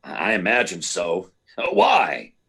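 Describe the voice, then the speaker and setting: A man playing a flustered, blustering newspaper editor in an old-time radio drama, stammering and excitable. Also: low-pitched, slow, exaggerated voice. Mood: agitated. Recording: live remote performance, clear background hiss.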